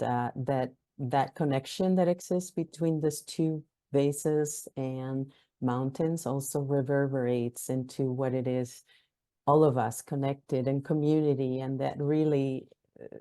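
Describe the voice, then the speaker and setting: A woman with a strikingly low, mellow voice offers a slow, devotional reflection. The sound is close and dry, with no room around it. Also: dry recording. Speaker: meditation call participant. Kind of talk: reflective prayerful sharing.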